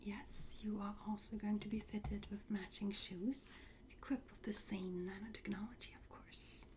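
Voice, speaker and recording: A woman whispering softly and breathily in an ASMR roleplay, playing a courteous, instructive fitting attendant. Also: medium pitch, fairly even intonation, moderate pace, background noise. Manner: soft, polite, instructive. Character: courteous attendant at a time travel suit fitting.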